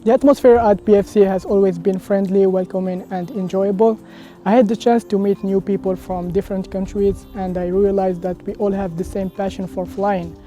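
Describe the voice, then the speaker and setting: A man from Algeria, a student pilot, speaking in a steady, rehearsed testimonial. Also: sits high, normal speed, faint music behind. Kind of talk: testimonial interview.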